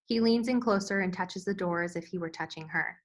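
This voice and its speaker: Mid-pitched woman reading stage directions. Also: brisk. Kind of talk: scripted narration at a screenplay table read.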